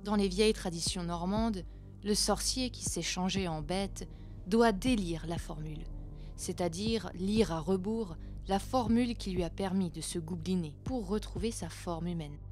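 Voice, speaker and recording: A woman's voice: on the low side, normal speed, a little mellow, faint music behind. A woman hosting a storytelling show, narrating scripted folk legends in a theatrical, literary manner. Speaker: host and storyteller.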